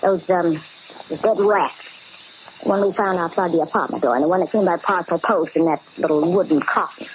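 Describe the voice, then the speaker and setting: A woman's voice: lowish voice, a little fast, lightly acted. An actress playing a gangster's affectionate, inquisitive girlfriend with a coaxing manner, in 1940s radio acting style. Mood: coaxing, curious. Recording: muffled, hissy old-time radio recording.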